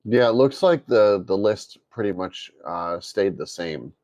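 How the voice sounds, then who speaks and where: A man with a bassy voice co-hosting a casual tech podcast, amused and quick to laugh. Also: lively intonation, a little mellow, dry recording. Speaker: podcast co-host.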